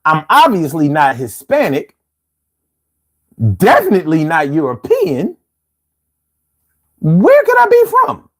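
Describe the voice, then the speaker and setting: A man's voice: a little high, wildly swooping pitch, takes it slowly, long pauses. A man hosting a casual live stream, reading out viewer comments with laid-back, chuckling talk, from the United States.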